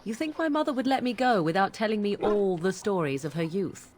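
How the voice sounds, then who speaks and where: A woman's voice: medium pitch, expressive, brisk, a little mellow, natural voice. An actress playing a cynical young witch of the wilds, formal, disdainful and drily sarcastic, in a fantasy game. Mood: bitter, wry. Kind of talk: dramatic game dialogue.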